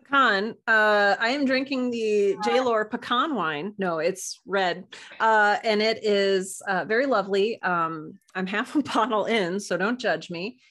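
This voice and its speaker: A woman's voice: medium pitch, measured pace, a bit bright. Easygoing woman guest with a mid-range voice. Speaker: podcast guest, writer. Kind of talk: casual, joking chat.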